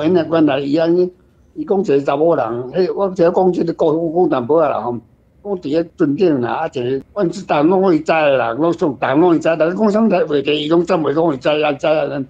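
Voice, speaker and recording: Older man, Taiwanese accent, higher-pitched, slightly dull recording, casual anecdotal talk, repetitive phrasing. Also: a little mellow. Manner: rambling, anecdotal, repeats phrases. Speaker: author guest discussing their story. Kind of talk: casual literary discussion.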